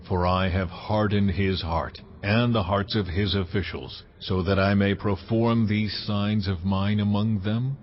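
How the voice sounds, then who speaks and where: An actor playing a solemn, commanding God in a dramatized audio Bible, proclaiming in a stern manner. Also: male voice, sits low. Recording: dull lo-fi audio with background noise.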